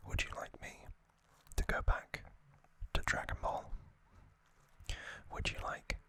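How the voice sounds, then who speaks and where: A man hosting an ASMR series, narrating trivia in a breathy, unvoiced whisper with deliberate short phrases and pauses. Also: pushed and bright.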